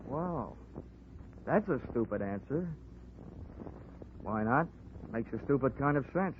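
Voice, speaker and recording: Hard-boiled investigator, mid-pitched, sardonic, clipped slangy delivery, 1940s radio drama, narrow muffled sound. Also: male voice, expressive, slow, a little hiss or hum. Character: wisecracking hard-boiled private insurance investigator, cynical man. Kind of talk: 1940s radio detective acting and narration.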